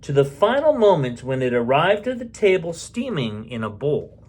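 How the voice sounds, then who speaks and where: A man's voice: fairly high pitch, very animated, normal speed, breathy edge. A man reading a storybook aloud expressively, with casual muttered asides as he goes. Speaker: bedtime story reader.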